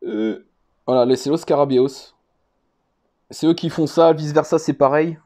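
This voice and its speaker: A man's voice: a little high, steady tone, unhurried, a little mellow. A man giving a casual, unscripted show-and-tell as a vlogger, speaking hesitantly and rambling, often repeating himself. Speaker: vlogger presenting a card collection.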